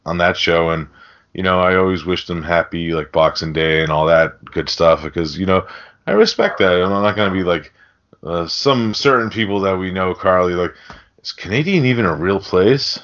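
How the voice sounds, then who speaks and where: A man with a low voice hosts a film podcast in a loose, rambling way. His talk is slangy and casually sweary. The audio is a bit dull and lo-fi. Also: lively intonation, normal speed, a bit bright. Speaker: podcast host.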